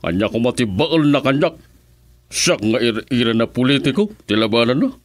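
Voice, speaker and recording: An actor playing a grumbling, wealthy political boss in a radio serial, terse, with an Ilocano accent. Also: male voice, medium pitch, animated, normal speed, dry recording, close to an everyday voice. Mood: disgruntled. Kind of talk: radio serial drama acting.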